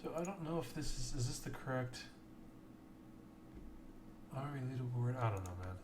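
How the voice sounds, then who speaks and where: A man's voice: medium pitch, animated, normal speed. Chatty self-deprecating male game caster. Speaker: esports tournament caster. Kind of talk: casual late-night live stream chat. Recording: keyboard typing noise behind voice. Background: clear keyboard typing.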